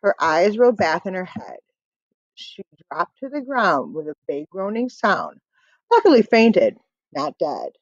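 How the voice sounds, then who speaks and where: A woman's voice: fairly low pitch, very animated, unhurried, no echo. A middle-aged woman reading a children's picture book aloud in a rhythmic, emphatic storytelling manner. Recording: dull lo-fi webcam audio.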